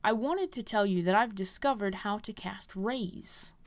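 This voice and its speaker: A woman's voice: medium pitch, unhurried, natural voice. A woman voicing a defiant, headstrong young girl healer from game quest dialogue, stubborn and dismissive. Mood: defiant, proud.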